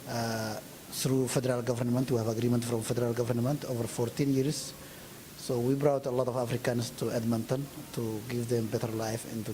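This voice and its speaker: A man's voice: medium pitch, mellow, slight background noise, rough recording. Earnest man. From Ethiopia. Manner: earnest, with frequent uh pauses. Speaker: community leader, interview guest. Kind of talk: interview answers.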